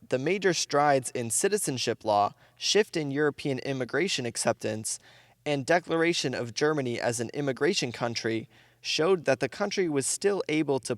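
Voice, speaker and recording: A man hosting a podcast, reading a prepared script in a measured, even narration. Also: medium pitch, no echo.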